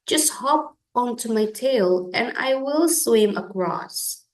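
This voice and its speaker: A woman's voice: a little high, lively intonation, slow, reverberant, distant mic, voice pushed up, low-quality recording. A woman voicing a sly, helpful-seeming storybook fox in a coaxing manner. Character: smooth-talking, sly fox offering help.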